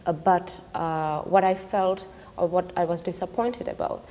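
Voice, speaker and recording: A woman's voice: on the low side, fairly even intonation, a little slow, mellow. A woman with a Czech accent and Vietnamese as her native language, talking thoughtfully as a panel guest and often repeating words as she searches for them. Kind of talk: panel discussion. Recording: long hall reverb.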